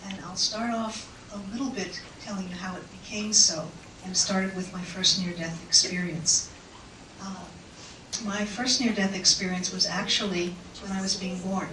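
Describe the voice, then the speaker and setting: A woman giving a personal talk to an audience in an auditorium, speaking through a microphone in an unhurried, reflective way with pauses. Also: medium pitch, steady tone, sharp tone, distant mic, slight background noise. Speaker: invited speaker. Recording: echoey hall mic heard from afar.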